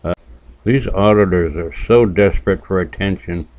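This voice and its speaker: A man's voice: low voice, normal speed, a little mellow, no echo, lo-fi sound. A man from New Hampshire hosting a casual reaction commentary channel, making dry, sardonic remarks. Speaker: YouTube commentary host.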